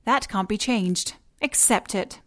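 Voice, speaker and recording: A woman's voice: mid-range voice, takes it slowly, a bit bright. Woman playing a cool, commanding villainess. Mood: unyielding. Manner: composed, precise, condescending. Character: cool, commanding female villain boss, dryly mocking. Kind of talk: audio drama acting.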